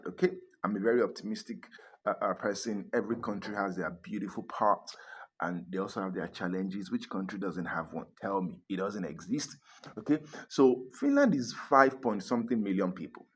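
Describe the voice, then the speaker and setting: A man's voice: on the low side, slightly rough. A man vlogging about moving abroad, talking casually to camera, emphatic, repeating his points and chuckling often. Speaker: relocation vlogger.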